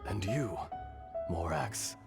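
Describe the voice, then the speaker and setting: A deep, slow voice of an ancient stone dragon spirit, grave and formal. He sounds worn with age and at peace. Game music plays behind him. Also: lively intonation, vocal fry, exaggerated voice. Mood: solemn. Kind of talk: game voice acting.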